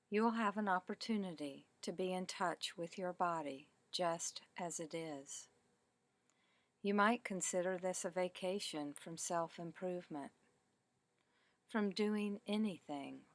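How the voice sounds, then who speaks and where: Slow, low-pitched woman guiding meditation. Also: dry recording. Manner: calm, deliberate, measured phrasing with pauses. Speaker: meditation teacher. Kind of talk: guided meditation, body scan narration.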